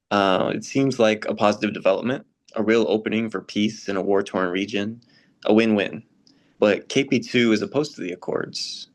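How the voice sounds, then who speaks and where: A man reading the part of a thoughtful, courteous church member in a scripted readers theater discussion, measured and polite. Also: on the low side, close to an everyday voice. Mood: curious. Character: thoughtful, courteous male church member probing issues of justice. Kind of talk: scripted dialogue read as casual discussion.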